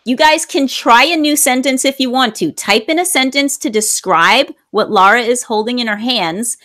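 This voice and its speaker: A woman's voice: fairly high pitch, moderate pace, fairly bright tone. A woman teaching an online English class, explaining grammar patiently and repeating phrases for learners.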